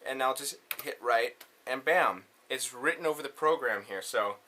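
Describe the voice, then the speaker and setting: A man's voice: mid-range voice, normal speed, pushed and bright, soft background music. A man hosting a casual hands-on tutorial, talking in an informal, slangy way. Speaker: tutorial host.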